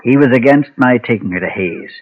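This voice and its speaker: An actor playing a guilt-ridden former preacher and gunfighter, solemn and halting, in a Golden Age radio western. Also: male voice, medium pitch, natural voice. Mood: haunted, sorrowful. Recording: muffled, thin vintage radio recording.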